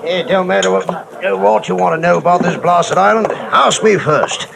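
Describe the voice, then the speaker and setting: An actor playing a garrulous, hard-drinking old waterfront sailor who talks in folksy nautical slang, in a 1950s radio drama. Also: male voice, high voice, moderate pace, vocal fry. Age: older. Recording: hissy old radio broadcast.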